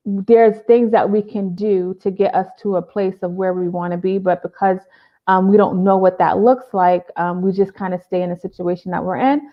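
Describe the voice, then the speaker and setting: A woman's voice: mid-range voice, highs cut off. A woman therapist hosting a casual live video interview, talking with many ums and uhs. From Boston area. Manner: warm-up chatter with many fillers. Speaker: therapist and live show host.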